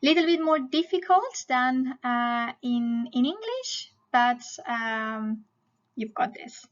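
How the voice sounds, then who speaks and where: Slow, high-pitched woman teaching. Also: expressive, dull audio. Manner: didactic, checks in often with okay and right. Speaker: language teacher. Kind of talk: explanatory language lesson to camera.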